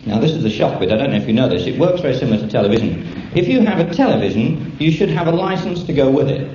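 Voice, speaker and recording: British male after-dinner speaker, mid-pitched, dry deadpan comic delivery, echoey room. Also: very fast. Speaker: air traffic controller giving an after-dinner speech. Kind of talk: humorous after-dinner speech. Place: function room with an audience. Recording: echoey, dull, very noisy live room recording. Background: audience laughter, loud noise.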